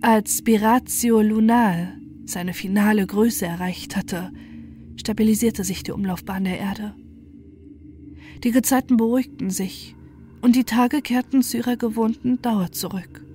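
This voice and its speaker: A woman narrating a scripted first-person horror story with deliberate, dramatic phrasing. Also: mid-range voice, darkish tone, a little hiss or hum. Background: music.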